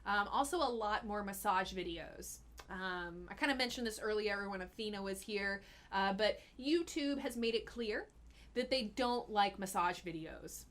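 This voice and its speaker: A woman's voice: mid-range voice, moderate pace, a bit bright. A young woman hosting a casual live-stream Q&A as a health coach, chatty and giggly with lots of fillers. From Austin, Texas.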